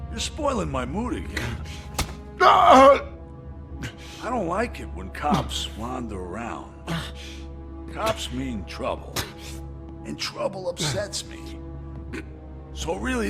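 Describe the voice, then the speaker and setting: Gravelly, wildly swinging gang boss. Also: male voice, a little high, wildly swooping pitch, takes it slowly, pauses often, full character voice. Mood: taunting menace. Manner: menacing, theatrical. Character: savage, taunting male street gang leader. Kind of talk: video game cutscene acting. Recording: music behind the voice.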